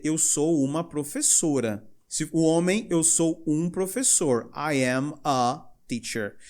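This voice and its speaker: A young male English teacher with a Brazilian Portuguese accent, explaining grammar in a lively way and reading example sentences slowly. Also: a little high. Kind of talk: recorded language lesson.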